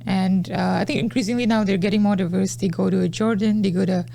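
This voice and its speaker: Gravelly, mellow man in his twenties. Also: high-pitched, fairly even intonation, brisk, slight background noise. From Singapore. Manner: easygoing, hesitant, many "uh"s and restarts. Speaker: podcast guest. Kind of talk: casual interview chat.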